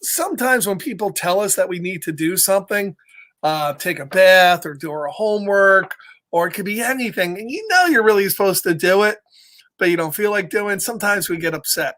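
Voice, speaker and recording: A man's voice: sits high, fairly quick, sharp tone. Playful man reading aloud to children. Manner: playful, chatty, breaks off to greet viewers. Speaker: children's storyteller. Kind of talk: casual interactive read-aloud of a picture book.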